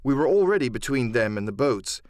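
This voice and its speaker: A man's voice: medium pitch, very animated, fairly quick, darkish tone, no echo, clean studio sound. A man narrating an adventure novel in a dramatic first-person storytelling style. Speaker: audiobook narrator and voice actor.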